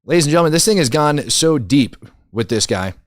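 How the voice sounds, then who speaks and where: A man's voice: on the high side, expressive, a little fast, no echo, clean studio sound. Man giving emphatic, casual news commentary. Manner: conversational, incredulous, emphatic repetition. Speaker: commentary show host.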